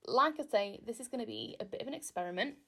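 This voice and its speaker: A woman's voice: fairly high pitch, sing-song, fast, sharp tone. A young woman from Wales, whose first language is Welsh, hosting a casual solo podcast in a chatty, self-deprecating, rambling way. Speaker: podcast host.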